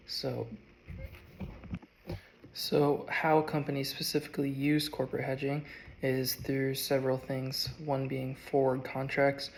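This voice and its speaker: A young man, sick and strained, giving a halting class presentation. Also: medium pitch, gravelly. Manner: halting, with frequent ums and uhs. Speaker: student.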